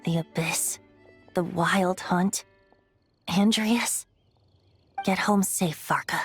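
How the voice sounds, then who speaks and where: A woman's voice: lowish voice, steady tone, takes it slowly, soft background music. A woman voicing a whimsical, boyish wandering bard in a video game, lyrical, teasing and playfully grandiose. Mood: solemn. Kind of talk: animated video game dialogue.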